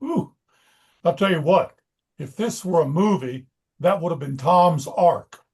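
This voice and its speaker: A man acts out a wry onlooker in a screenplay table read. His voice is distinctly high. He speaks casually and directly. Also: expressive, unhurried, a little mellow, husky, heavily acted.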